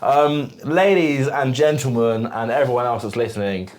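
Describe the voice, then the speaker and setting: An easygoing man hosts a podcast. His voice sits at a medium pitch. He teases his guest and keeps the talk loose and informal. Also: steady tone, normal speed, a little mellow. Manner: teasing, easygoing. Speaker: podcast host. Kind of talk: casual chat.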